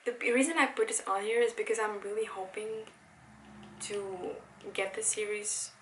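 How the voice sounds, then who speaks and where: Young woman in her twenties, first language Dutch, easygoing and excitable, chatty vlog delivery with lots of fillers and restarts. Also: medium pitch, moderate pace, background noise. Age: 20s. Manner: chatty, enthusiastic, with frequent self-corrections and "like". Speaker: book vlogger. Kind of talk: casual chat. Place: home room by a bookshelf.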